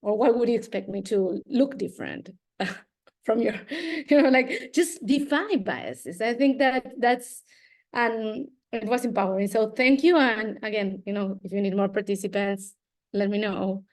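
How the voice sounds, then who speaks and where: A woman's voice: mid-range voice, a little slow, darkish tone. A woman from Argentina with an Argentine Spanish accent and Spanish as her first language, giving an earnest comment as a doctoral student, searching for words. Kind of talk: audience comment.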